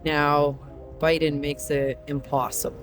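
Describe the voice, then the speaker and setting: A slightly higher-pitched man from Croatia answers questions as a long-haul trucker. He talks in an earnest, halting way, stopping often to collect his thoughts. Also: little pitch movement, faint music behind. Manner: earnest, frequent um pauses. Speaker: truck driver interviewee. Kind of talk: casual interview answers.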